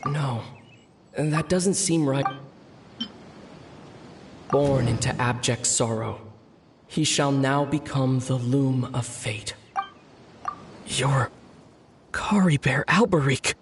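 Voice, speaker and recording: A man acting in a video game as a solemn figure reciting titles and prophecy in a grave, deliberate manner. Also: mid-range voice, noisy background, close to an everyday voice. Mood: grave, searching.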